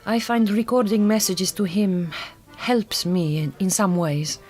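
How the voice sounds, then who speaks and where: A woman's voice: mid-range voice, measured pace, a little mellow, faint music behind, lightly acted. An actress playing a proud, reserved middle-aged Hungarian woman in a radio drama, with a Hungarian accent and careful, formal phrasing. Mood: subdued, grieving. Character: glamorous, proud, reserved Hungarian migrant woman, grieving mother.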